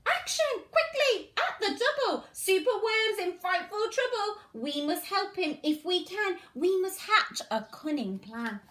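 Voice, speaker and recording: A woman storyteller with a high-pitched voice reads to young children. She goes slowly, with sing-song, exaggerated emphasis. The recording has a clear room echo. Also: lively intonation, fairly bright tone. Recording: roomy, echoing indoor sound.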